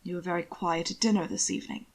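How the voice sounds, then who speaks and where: Aristocratic lord performed by a woman, audiobook character, proud, probing, formal, dry, smooth. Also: lowish voice, steady tone, fast talker, pitched below the performer's own voice. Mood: cool, courteous.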